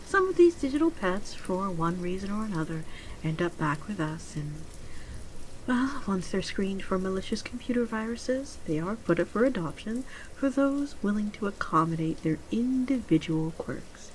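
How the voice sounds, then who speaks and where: A woman acting as a genteel saleswoman in a futuristic pet shop, speaking softly in an ASMR roleplay style. Her delivery is courteous and measured, often sinking toward a whisper, at a medium pitch. There is clear ambient noise behind her. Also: lively intonation, lightly acted, low-quality recording. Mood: informative, reassuring. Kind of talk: soft-spoken ASMR roleplay, customer-service patter.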